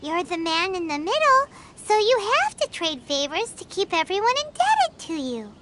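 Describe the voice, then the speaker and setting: A woman voicing a tiny, chirpy, childlike fairy companion in a video game, cheeky and expressive. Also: extremely high pitch, moderate pace, background noise. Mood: matter-of-fact, cheeky. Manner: exclamatory, expressive, talks of self in third person.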